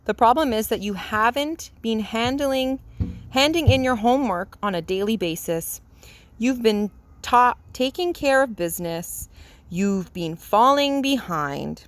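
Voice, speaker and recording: A woman's voice: fairly high pitch, lively intonation, a little slow. Woman reading as stern storybook father bear. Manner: firm, lecturing.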